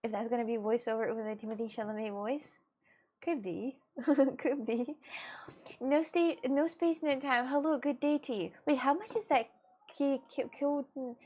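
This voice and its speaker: Young streamer, woman, slightly high voice, halting chatty English with lots of fillers and laughs. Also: darkish tone. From Russia. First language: Russian. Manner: hesitant, halting English with many fillers and giggles. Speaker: livestreamer. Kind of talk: casual live-stream chat with viewers.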